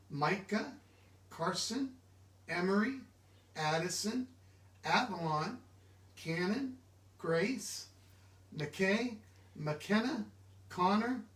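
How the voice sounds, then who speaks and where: A man giving an animated, expressive children's storybook read-aloud, engaging his listeners directly. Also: high voice, very slow, pauses often. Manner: expressive, interactive, asks listeners questions. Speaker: children's book reader. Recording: echoey room, distant mic.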